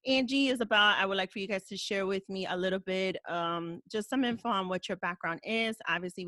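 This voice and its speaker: A woman's voice: medium pitch, a bit bright, dry recording. Laid-back joking woman podcast host. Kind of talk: casual podcast chat.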